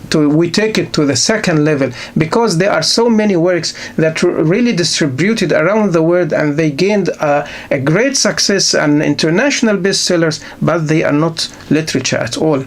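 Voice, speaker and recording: A man from Egypt, giving an unscripted university literature lecture full of rhetorical questions, halting restarts and repeated words. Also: a little high, creaky.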